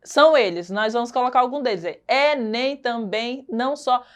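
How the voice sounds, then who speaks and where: A woman's voice: a little high, moderate pace. A woman teacher with a Brazilian Portuguese accent giving a recorded lesson, explaining patiently, repeating key points and checking in with her students.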